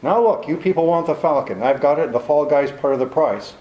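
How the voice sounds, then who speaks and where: An actor playing a tough private detective in a 1940s-style radio play, clipped and sardonic. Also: male voice, on the high side, lively intonation, a little fast, reverberant, dull audio, close to an everyday voice.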